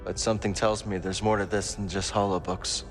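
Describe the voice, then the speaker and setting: A man's voice: lowish voice, level tone, brisk, soft background music, lightly acted. A young man playing an earnest, wry Jedi hero in video game dialogue, understated and informal. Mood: curious.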